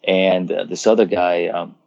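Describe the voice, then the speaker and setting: Lively storytelling rock singer, lo-fi line. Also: male voice, low-pitched, moderate pace, vocal fry, no echo. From Croatia. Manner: animated storyteller, swearing, acting out quotes. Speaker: rock singer, interview guest. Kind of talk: casual phone interview.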